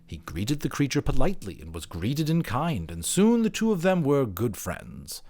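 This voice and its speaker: Male audiobook reader, medium pitch, highly animated, theatrical storytelling delivery. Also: moderate pace. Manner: theatrical, expressive. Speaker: audiobook narrator. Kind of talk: dramatic storybook narration.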